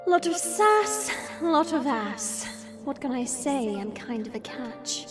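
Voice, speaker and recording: A woman's voice: high-pitched, big pitch swings, takes it slowly, over music, heavily acted. A woman playing a sassy, teasing vampire with a sarcastic, playful drawl in an audio roleplay. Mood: sassy, mocking. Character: hidden female vampire, sassy, teasing, unafraid. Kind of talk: audio roleplay drama acting.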